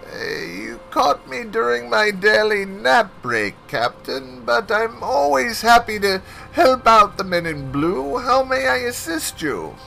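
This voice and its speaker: A man's voice: extremely high pitch, big pitch swings, slow, vocal fry, few pauses, pushed and bright, soft background music, voice pushed up, full character voice. A man voicing a cranky, cackling old male professor who drags his words out distractedly. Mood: drowsy.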